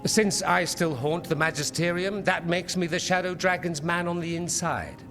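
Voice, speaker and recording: An actor playing a polished, articulate politician turned rebel in a video game, with a wry manner. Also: male voice, high voice, normal speed. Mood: wry, resigned. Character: urbane male politician turned underground rebel, wry and idealistic. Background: music.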